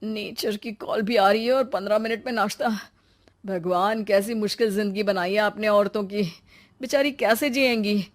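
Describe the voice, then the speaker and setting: A woman voicing a young married son, protective and exasperated, in a quick, pleading manner for an animated story. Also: mid-range voice, natural voice. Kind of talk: animated Hindi moral story acting.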